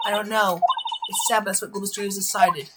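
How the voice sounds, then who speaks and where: A woman plays a mild young studio assistant in a video game. The delivery is resigned and matter-of-fact, in an everyday middle pitch. Prominent music runs underneath. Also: steady tone, fast, close to an everyday voice. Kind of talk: video game fandub acting.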